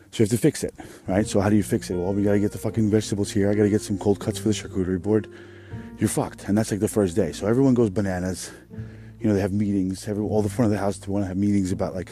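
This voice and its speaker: A man with a very deep voice talks through a loose, rambling podcast monologue. He swears freely and keeps a sarcastic edge. Music plays clearly behind him. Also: mellow. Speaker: podcast host.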